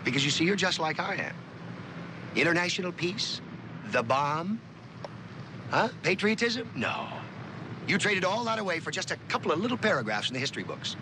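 A man's voice: sits high, sing-song, moderate pace, pauses often. A man playing a smug blackmailing spy in an old suspense drama, mocking and sardonic with theatrical emphasis. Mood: mocking, confident. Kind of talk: mid-century American TV suspense drama acting. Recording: noisy old TV soundtrack, outdoor engine noise.

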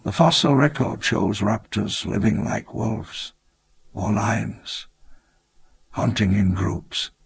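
A grand old dreamer recalling his failed island of dinosaurs. He speaks in a low, heavily gravelly voice, unhurried and grave, for a game's narrated memoir. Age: older. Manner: measured, reflective, grandly solemn. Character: elderly grandiose dinosaur-park founder, wistful. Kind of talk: scripted memoir narration for a game.

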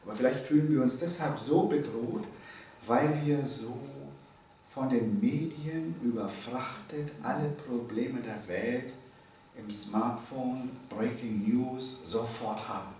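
A man's voice: mid-range voice, darkish tone. Older man lecturing in a very echoey room. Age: early 70s. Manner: emphatic, deliberate, with pauses for emphasis. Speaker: lecturer, theology professor. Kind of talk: public lecture with rhetorical questions to listeners. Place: indoor seminar room. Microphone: microphone on a stand. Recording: very echoey room, distant, faint noise.

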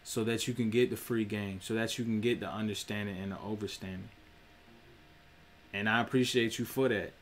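A man's voice: on the low side, fairly even intonation, fairly quick, a little hiss or hum. A young man, streaming from a small room, preaching about spirituality in a direct, profane way. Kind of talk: casual spiritual monologue.

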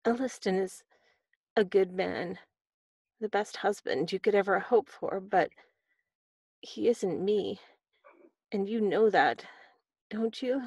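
An actress playing a dying, tender woman in a radio drama, speaking in a faltering, halting way. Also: medium pitch, darkish tone, dry recording. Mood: weak, resolute. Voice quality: breathy, faltering. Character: dying woman, tender, devoted secret love, weakening. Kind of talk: period radio drama acting. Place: small padded recording booth. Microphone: studio microphone with headset.

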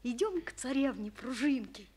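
Very high-voiced plucky boy. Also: expressive, normal speed, darkish tone. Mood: determined. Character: earnest, plucky, compassionate small boy. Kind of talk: 1940s radio play acting.

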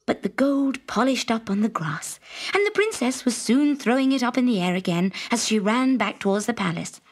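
Expressive, high-voiced woman storyteller. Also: moderate pace. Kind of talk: scripted children's story narration.

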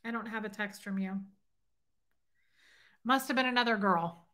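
A woman's voice: medium pitch, moderate pace, fairly bright tone. A woman in her thirties hosting a casual livestream from her living room, teasing and flirty as she reads viewer comments to a webcam. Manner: playful, teasing, flirty banter. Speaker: livestream host. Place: home living room, indoors. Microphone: webcam at desk.

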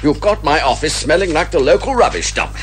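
An actor playing a fussy, sceptical sidekick in a radio detective comedy, questioning and incredulous. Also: male voice, fairly high pitch, lively intonation, a little fast, soft background music, natural voice. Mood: flustered, grumbling. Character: fussy, sceptical male sidekick, easily flustered. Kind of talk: 1980s radio comedy detective drama acting.

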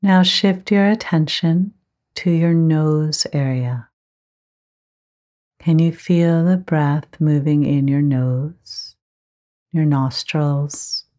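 A woman teaching guided meditation, giving gentle, measured instructions with frequent pauses. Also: low-pitched.

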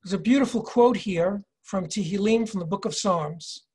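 An older man in his sixties leading a virtual tour lecture from home over a video call, measured and explanatory, reading inscriptions slowly. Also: sits high, a little mellow, slightly rough. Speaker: tour guide and educator. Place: home office, indoors. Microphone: video call webcam.

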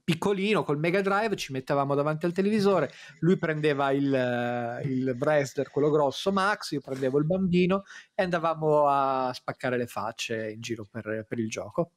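A man with a slightly high voice takes his turn in a group stream. He tells things casually, building each story in halting little pieces. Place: indoor room, group video call.

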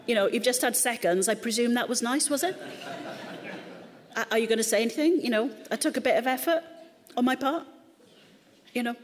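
A woman's voice: on the high side, fairly quick, pauses often. A middle-aged woman from Wales, giving a chatty, humorous church talk. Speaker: church speaker. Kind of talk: sermon talk. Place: church hall. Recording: long hall reverb.

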